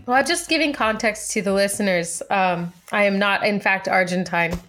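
A woman's voice: medium pitch, moderate pace, fairly bright tone, soft background music. Wry woman hosting a casual podcast. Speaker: podcast co-host.

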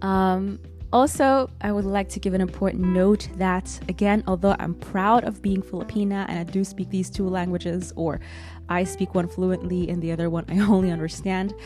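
Mellow-voiced woman hosting a podcast. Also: medium pitch, fairly even intonation, moderate pace, faint music behind. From Germany. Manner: earnest, reflective, encouraging. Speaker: podcast host. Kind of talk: solo podcast monologue.

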